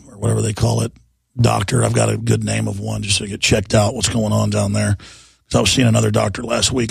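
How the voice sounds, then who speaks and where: A middle-aged man hosting talk radio with a hoarse, deeply gravelly voice, rough and husky after an illness. He talks in a relaxed, rambling way, stumbling and repeating words. The studio sound is close and dry. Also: no room sound. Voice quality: gravelly, raspy. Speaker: radio talk show host. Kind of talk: talk radio monologue with ad reads. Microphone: studio microphone.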